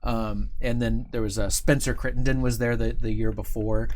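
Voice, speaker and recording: A man's voice: medium pitch, flat delivery, moderate pace, a little mellow. Enthusiastic man hosting, noisy background. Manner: enthusiastic, rambling, repeats himself. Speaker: host of a company livestream show. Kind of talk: casual unscripted chat and interviewing. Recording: clearly audible background noise.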